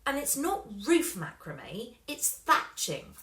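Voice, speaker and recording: A woman's voice: high voice, huge pitch swings, takes it slowly, a bit bright, roomy sound, full character voice. A woman voicing a plain-spoken, earnest young girl in a children's storybook.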